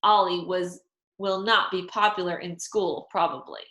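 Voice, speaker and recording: A woman reading a children's storybook aloud with deliberate, dramatized, expressive phrasing for young listeners. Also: on the low side. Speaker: storybook reader. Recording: echoey room.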